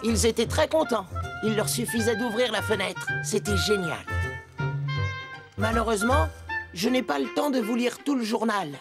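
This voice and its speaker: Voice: mid-range voice, moderate pace, music in the background, natural voice. An actor playing an exuberant, boastful young penguin in a children's cartoon, with an exclamatory, singsong delivery. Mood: happy storytelling.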